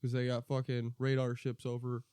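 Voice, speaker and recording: A man's voice: medium pitch, monotone, normal speed, mellow, smooth, dry recording. An American man co-hosting a podcast, chatting casually about politics in a blunt, profane, opinionated way. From United States. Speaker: podcast co-host.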